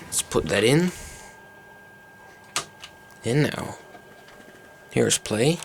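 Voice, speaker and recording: A man's voice: medium pitch, lively intonation, extremely slow, creaky, a little hiss or hum. A man giving a casual, unscripted hands-on demonstration as an electronics hobbyist, hesitant and thinking aloud as he goes. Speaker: electronics hobbyist presenting a tape recorder.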